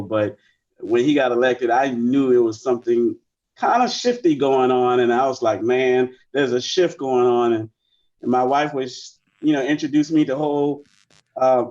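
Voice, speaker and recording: A man in his forties who grew up in the Bahamas, speaking as a guest musician in a relaxed, meandering way. His voice sits at an ordinary middle pitch. The sound is dull and lo-fi, as if slightly muffled. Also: moderate pace. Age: 40s. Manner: relaxed, rambling with many fillers. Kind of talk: casual interview chat.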